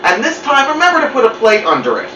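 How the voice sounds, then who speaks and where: Hurried storybook mom, voiced by a man: brisk, high, calling out, roomy distant recording. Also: huge pitch swings, noisy background, heavily acted. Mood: brisk reminding. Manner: brisk, calling out, raised pitch. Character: hurried suburban mother calling out household instructions. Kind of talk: animated children's story reading. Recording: muffled, roomy, distant mic.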